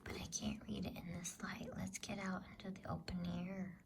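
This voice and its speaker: A woman voicing a responsible, bossy older boy in a hushed, breathy ASMR storybook whisper. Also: lowish voice, little pitch movement, moderate pace, close to an everyday voice. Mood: puzzled.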